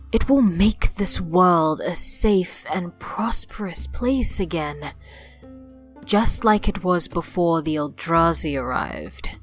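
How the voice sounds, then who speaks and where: Proud sure-of-herself adventurer lady woman acting, slow n low, persuasive, fantasy audio drama. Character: proud, self-assured woman adventurer recruiting a party.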